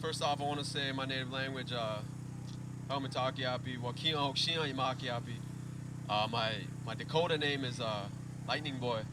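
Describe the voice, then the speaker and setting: A man's voice: mid-range voice, fairly even intonation, normal speed. An American man, a rapper, talking informally and earnestly to an audience as he introduces his song. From Spirit Lake Nation, United States. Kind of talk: informal stage talk to an audience. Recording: distant mic, loud outdoor vehicle noise.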